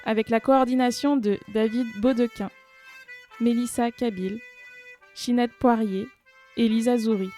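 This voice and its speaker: A woman's voice: on the high side, warm tone. A woman reading scripted closing credits as an announcer. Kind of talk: scripted narration.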